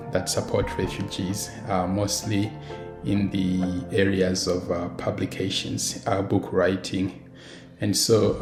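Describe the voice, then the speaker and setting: A man from Rwanda speaks in a very deep voice, measured and reflective, often pausing on "uh". His voice carries room echo, and music plays clearly underneath. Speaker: former refugee, runs refugee support initiatives. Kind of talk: recorded interview testimony. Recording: roomy recording with music underneath.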